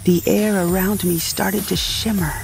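A woman's voice: mid-range voice, fairly even intonation, measured pace, gravelly, low-quality recording. A woman narrating a first-person comic science fiction audio drama. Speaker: narrator and lead character. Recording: music behind the voice.

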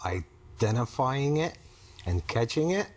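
A middle-aged man, talking haltingly and briefly about his relationship as a therapy client. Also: medium pitch, big pitch swings. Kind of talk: halting personal conversation. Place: studio set on a sofa.

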